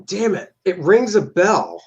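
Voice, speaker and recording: A man's voice: sits high, huge pitch swings, unhurried, breathy edge, dull audio. A man on a video call as a guest, chiming in with laid-back, short remarks. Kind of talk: casual chat.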